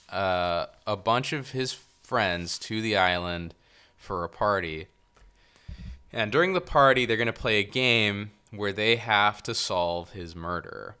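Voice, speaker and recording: A man co-hosting a casual movie podcast, joking and pausing with fillers as he summarizes a plot. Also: on the low side, bright, forceful tone, clean tone. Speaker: podcast co-host. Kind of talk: casual movie review chat.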